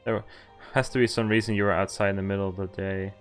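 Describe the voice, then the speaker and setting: Male streamer, slightly low register, casual read-aloud delivery with self-corrections and chat asides, soft music underneath. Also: little pitch movement, fast talker. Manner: stumbles over read lines and corrects himself. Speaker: streamer reading a visual novel aloud. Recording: music playing behind the voice. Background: background music from the game.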